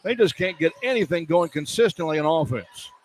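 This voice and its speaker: A man's voice: fairly high pitch, lively intonation, brisk. A man calling live basketball on the radio as play-by-play announcer, describing the action in clipped running phrases. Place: indoor sports arena. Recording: live arena broadcast with background noise.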